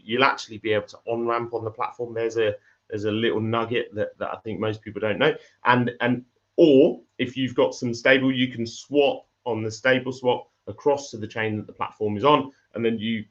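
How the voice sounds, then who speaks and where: A man, a crypto project founder, talking in a livestream interview with a croaky voice from illness, often repeating words and restarting his sentences. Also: medium pitch, fairly bright tone, dull audio.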